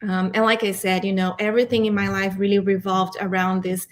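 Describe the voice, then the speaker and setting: A woman's voice: medium pitch, little pitch movement, a little fast. A woman in her forties from Brazil with a Brazilian accent, answering interview questions in a candid, reflective, conversational way. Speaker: interview guest, arts nonprofit director.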